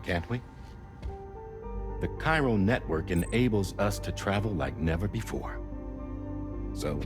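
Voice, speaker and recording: An actor playing a grave, resolute middle-aged director who delivers measured, theatrical oratory in a game cutscene. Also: male voice, fairly low pitch. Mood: resolute, rousing. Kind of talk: dramatic game cutscene monologue.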